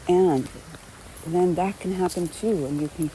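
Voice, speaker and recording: Female meditation guide: deeply mellow, relaxed tone, gentle and unhurried, faint background noise. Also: low-quality recording. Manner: gentle, unhurried, with long pauses between short phrases. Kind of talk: guided meditation, unscripted spoken instruction.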